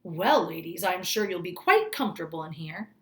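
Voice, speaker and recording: A woman's voice: lowish voice, expressive, brisk, reverberant, lightly acted. A woman reading the part of an obsequious male hotel manager in a storybook, with polite, formal phrasing. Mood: fawning politeness.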